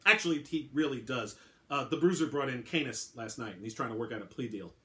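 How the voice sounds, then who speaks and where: A man's voice: medium pitch, brisk, bright, forceful tone. A man voicing a blunt, weary, sardonic female police detective in a dramatic story reading. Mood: matter-of-fact.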